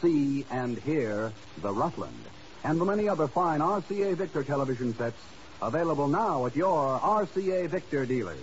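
A man's voice: a little high, expressive, moderate pace, slight background noise. A man announcing from a script in the style of 1950s American network radio. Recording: dull 1950s radio recording. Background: music.

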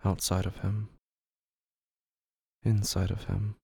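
A man narrating scripted horror fiction dramatically, in short, deliberate, measured phrases. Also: low-pitched, flat delivery, creaky, mellow, breathy edge, no room sound, studio quality. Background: prominent music.

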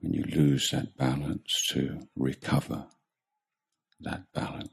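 Man, meditation teacher, extremely deep voice, flat steady intonation, calm, measured, pausing between short phrases. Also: gravelly, slightly rough. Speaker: Buddhist monk meditation teacher. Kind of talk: guided meditation.

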